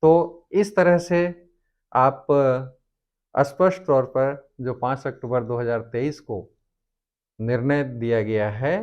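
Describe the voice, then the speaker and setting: Male legal explainer, formal and deliberate, unhurried delivery in halting phrases, slightly muffled lo-fi sound. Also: medium pitch. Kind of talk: explanatory lecture on law.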